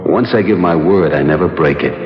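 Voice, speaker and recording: An actor playing a smug, boastful American crook boss from beyond the grave in a radio drama, goading slowly and insistently. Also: male voice, low-pitched, lightly acted. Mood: smug menace. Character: dead small-time crook boss, smug, menacing, boastful. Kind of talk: 1970s radio drama acting. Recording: dull, echoing old radio recording with music.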